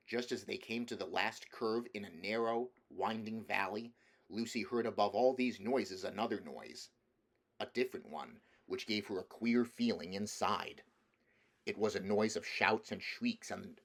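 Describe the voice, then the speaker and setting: A man's voice: fairly low pitch, fairly bright tone. A man reading a children's storybook aloud as scripted narration. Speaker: audiobook reader.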